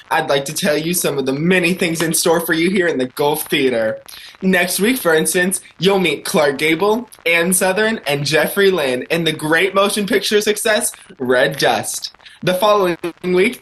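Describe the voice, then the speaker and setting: A man playing a genial 1940s radio theater announcer and sponsor pitchman, speaking in a formal, promotional style. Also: fairly bright tone, a little hiss or hum, lightly acted, rough recording.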